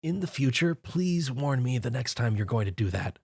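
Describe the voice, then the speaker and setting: A man's voice: mid-range voice, very animated, moderate pace, creaky, darkish tone, husky, no echo, exaggerated voice. A man doing a dry, level-headed young man.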